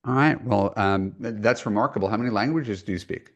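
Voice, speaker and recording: A deep-voiced man hosts a dating-coach podcast. He talks in a loose, chatty way, full of ums and false starts, and pokes fun at himself. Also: a little fast, dull audio. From United States. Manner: chatty, self-deprecating, many fillers and restarts. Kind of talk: conversational podcast intro and coaching.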